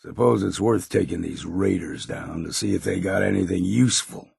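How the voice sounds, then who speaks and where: A grizzled old American hunter from a post-apocalyptic video game. His voice is low and thick with gravel, recorded dry and close. He speaks in a blunt, folksy way, gruff and wryly world-weary. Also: moderate pace, a little mellow, no echo, exaggerated voice. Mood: matter-of-fact. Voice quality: gravelly, husky. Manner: folksy, plain-spoken, blunt. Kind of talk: video game character voice lines.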